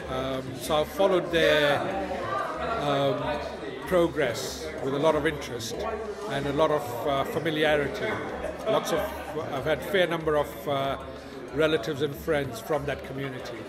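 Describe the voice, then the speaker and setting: A man's voice: a little high, steady tone, a little slow. A man in his sixties from Zimbabwe, a retired councillor, speaking in an informal interview. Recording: loud music and crowd chatter. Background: loud music, busy crowd.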